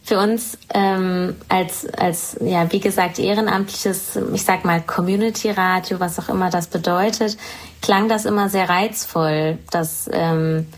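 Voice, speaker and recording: A woman interviewing a guest for a volunteer community radio podcast, building her questions in a halting, searching way. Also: lowish voice, fairly even intonation. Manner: halting, searching phrasing while asking questions.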